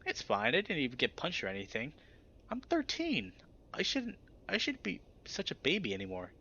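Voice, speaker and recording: A man's voice: on the high side, wildly swooping pitch, moderate pace, sharp tone, husky, highs cut off, voice pushed up, exaggerated voice. A man voicing an earnest, timid young teenage lynx boy in a visual novel, hesitant and apologetic. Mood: ashamed, upset. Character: earnest, timid thirteen-year-old lynx boy in ghost costume.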